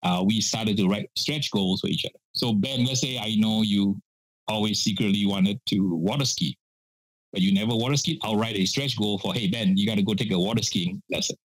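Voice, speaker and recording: A man's voice: fairly low pitch, a little fast, no echo, rough recording. A man in his sixties from Malaysia, a pitmaster guest telling stories in a casual podcast interview with lots of fillers. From Malaysia (Penang). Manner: chatty storyteller, many "uh" fillers, laughs often.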